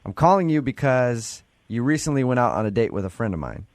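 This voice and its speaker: A man's voice: medium pitch, sing-song, brisk, dry recording. A man hosting a morning radio show with playful, joking, self-deprecating banter and phone interviews.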